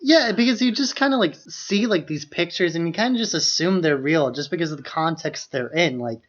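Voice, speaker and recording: A man's voice: a little high, expressive, fairly quick, a bit bright. A man co-hosting a podcast, chatting casually about films in very informal speech peppered with "like". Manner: informal, leans heavily on "like". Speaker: podcast co-host. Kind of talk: casual film chat. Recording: dull, lo-fi podcast audio.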